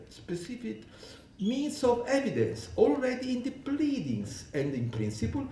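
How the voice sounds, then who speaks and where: Conference speaker: Italian man, English not his first language, animated pitch, halting restarts, echoey hall mic. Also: distant mic, slight background noise. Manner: halting, many restarts and fillers. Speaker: panel speaker on law. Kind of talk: academic conference talk. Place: lecture hall.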